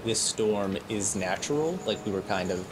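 A man's voice: lowish voice, lively intonation, moderate pace, over a noisy crowd. A man playing a tabletop roleplaying game, asking questions casually. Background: background music.

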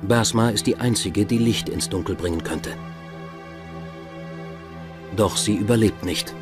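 A man's voice: on the low side, measured pace, music in the background. A man reading scripted documentary narration as the narrator of a true crime podcast. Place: voice-over with music behind.

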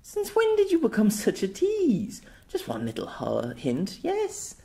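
A man voicing a playful, cheeky young woman in a storybook reading, with a high, cooing, wheedling manner. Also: wildly swooping pitch, a little slow, creaky, warm tone, full character voice.

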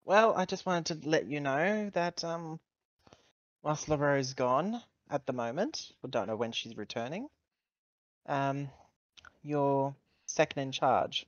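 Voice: medium pitch, animated, slow pace, dry recording. Dry, slightly muffled tabletop roleplayer. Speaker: player in a tabletop roleplaying game. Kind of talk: casual in-character roleplay and narration.

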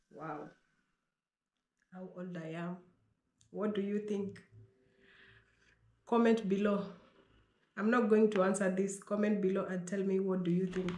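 Slow-talking Kenyan woman, echoey room. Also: mid-range voice, darkish tone. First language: Pokomo. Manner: reads questions aloud, then answers them herself in an unhurried way. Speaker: vlogger. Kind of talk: Q&A vlog. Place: small bedroom indoors. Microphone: fixed camera at desk distance. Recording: echoey bedroom.

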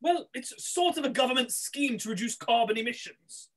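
Woman playing a high-voiced, gloating pantomime villain. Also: animated. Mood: wheedling, crying wares. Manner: hammy, gloating, drawn-out street cries. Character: scheming male pantomime villain posing as street lamp seller. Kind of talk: rough pantomime read-through. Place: small room at home, video call.

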